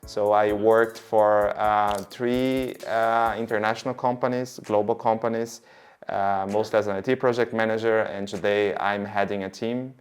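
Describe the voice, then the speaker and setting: A man's voice: on the low side, fairly even intonation, moderate pace, soft background music. A man in his thirties from Brazil, giving long, earnest interview answers with many fillers. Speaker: guest.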